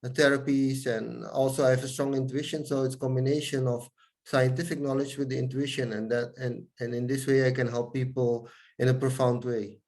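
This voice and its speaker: Soft-spoken Dutch-accented man, flat delivery. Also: mid-range voice, normal speed. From Suriname, raised in the Netherlands. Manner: earnest, many hesitations and restarts. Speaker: guest, scientist and yoga teacher. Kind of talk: interview answers.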